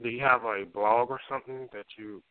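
A man's voice: mid-range voice, fairly quick, clear, resonant tone, no room sound. Male radio host, casual questions, dry close sound, phone-like tinny quality. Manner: relaxed, conversational questioning. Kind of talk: casual talk radio interview.

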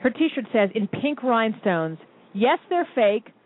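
A woman's voice: on the high side, very animated, unhurried. A woman co-hosting a casual interview and steering the talk back with questions. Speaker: co-host. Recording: tinny phone-like line.

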